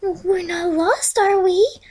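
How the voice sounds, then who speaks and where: A woman's voice: extremely high pitch, takes it slowly, darkish tone, voice pushed up. A woman voicing a sensible but easily exasperated young female pony in a fan story, speaking plainly and earnestly. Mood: worried.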